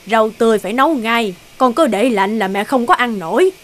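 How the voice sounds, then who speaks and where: A woman's voice: a little high, expressive, a little fast, lightly acted. A woman voicing a strict, fussy elderly mother-in-law in a cartoon, with a scolding, nagging tone. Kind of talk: cartoon dubbing.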